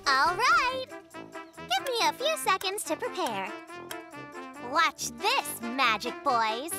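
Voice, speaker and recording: An actor voicing a cheerful, friendly young female fox in a children's cartoon, excitable and a bit knowing. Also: very high-pitched, takes it slowly, music in the background, full character voice. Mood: confident.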